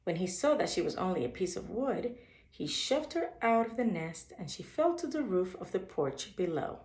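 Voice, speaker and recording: A woman's voice: mid-range voice, normal speed. Echoey woman reading a bedtime story. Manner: expressive storytelling for young children. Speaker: storyteller reading a picture book. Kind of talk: read-aloud bedtime story with short asides on the pictures. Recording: echoey, roomy sound.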